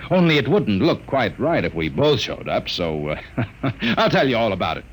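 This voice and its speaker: Cocky, animated American smoothie. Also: male voice, on the low side, normal speed. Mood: smug. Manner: swaggering, slangy, smug. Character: cocky, suave artificial double of a timid man. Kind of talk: classic radio drama acting. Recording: noisy vintage radio recording.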